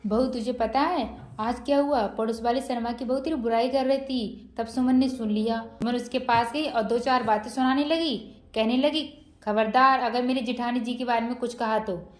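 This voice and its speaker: A woman's voice: fairly high pitch, fairly even intonation, moderate pace, natural voice. A woman playing a middle-aged, scheming mother-in-law in a family moral-story skit, firm and authoritative. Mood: sly coaxing. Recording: roomy echo with music behind.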